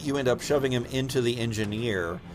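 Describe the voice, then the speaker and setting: A man with an average-pitched voice runs a tabletop game as game master. He improvises the narration, hesitating and thinking aloud. Soft music plays behind him. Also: a little fast.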